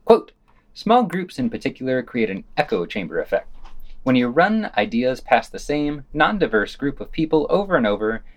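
A man hosting a podcast, reading scripted news commentary and quotations with dry, opinionated asides. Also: mid-range voice.

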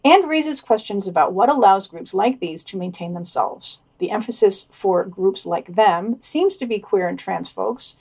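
A woman's voice: medium pitch, lively intonation, a little slow, creaky, few pauses, a little mellow, husky. A woman co-hosting a podcast, reading out a scripted news digest with commentary.

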